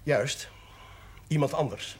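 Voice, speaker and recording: An actor playing a genial, authoritative parish priest, paternal and persuasive, in a 1970s Dutch television drama. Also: male voice, mid-range voice, slow, a little hiss or hum, natural voice. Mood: firm, persuasive.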